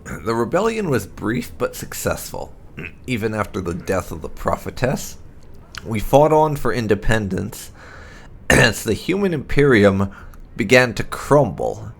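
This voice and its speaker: A man reading fantasy game lore aloud as scripted narration, in a measured, storybook-like delivery. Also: fairly low pitch, sing-song, gravelly, slight background noise.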